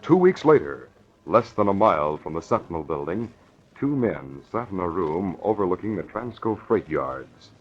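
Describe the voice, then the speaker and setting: A man's voice: deep voice, big pitch swings, normal speed. A man delivering grave, scripted 1940s radio narration for a crime serial, on a muffled old recording with faint noise. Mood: grave, suspenseful.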